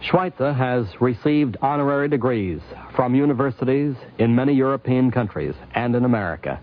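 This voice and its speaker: A man's voice: medium pitch, lively intonation. Formal male narrator, muffled vintage radio. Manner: deliberate, formal phrasing. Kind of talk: scripted 1950s radio documentary narration. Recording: dull 1950s radio recording.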